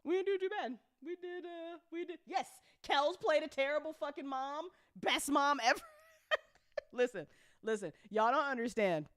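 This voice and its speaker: A woman's voice: sits high, normal speed, fairly bright tone, dry recording, studio quality. A woman hosting a casual, chatty recap for her community, effusive and freely sweary, often repeating phrases for emphasis. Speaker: host, audio drama creator.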